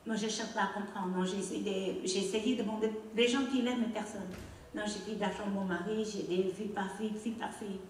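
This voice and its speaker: A middle-aged woman with a Cambodian accent, Khmer her first language, speaks to a theatre audience in halting, heartfelt phrases, often checking in with them. Her handheld mic is caught from a distance, and the hall echoes heavily around her voice. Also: mid-range voice, steady tone, slight background noise. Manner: halting, emotional, non-native phrasing, asks the audience "non?". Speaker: speaker on stage. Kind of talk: personal testimony to an audience. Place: dark theatre stage.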